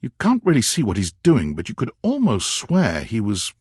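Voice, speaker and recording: A man narrating a romantic comedy video game with measured, dramatic storytelling and deliberate pauses. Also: on the low side, huge pitch swings, darkish tone, slightly rough, no echo, studio quality, exaggerated voice. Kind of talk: scripted second-person narration of a dating game.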